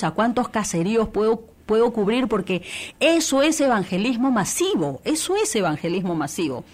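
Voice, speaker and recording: Fervent Peruvian church radio woman. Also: a little high. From Peru. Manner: earnest, fervent, with many filler restarts. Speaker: guest, church radio coordinator. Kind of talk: interview testimony.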